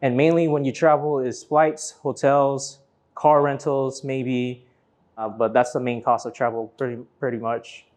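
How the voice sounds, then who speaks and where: A man's voice: mid-range voice, flat delivery, unhurried, a little mellow. A man from Vietnam who grew up in Florida, giving casual, informal interview answers that explain things step by step. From born in Vietnam, grew up in Florida from age nine. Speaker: interview guest, business owner.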